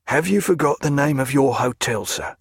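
A man's voice: medium pitch, animated, moderate pace, creaky, breathy edge, no echo, studio quality. A man voicing a deferential male escort who speaks respectfully and eagerly, in an audiobook.

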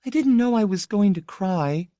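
Man voicing a teenage schoolgirl, shy, emotional, high soft falsetto, very swooping, slow, dry audiobook. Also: big pitch swings, no echo. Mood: sobbing. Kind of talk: audiobook character voice.

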